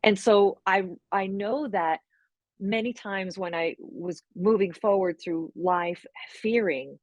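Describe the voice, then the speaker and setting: A woman whose first language is French, a guest telling lively personal stories and acting out her past self. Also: mid-range voice, takes it slowly, no echo, muffled recording. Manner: animated storyteller, acts out her own past voices. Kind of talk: heartfelt conversational interview.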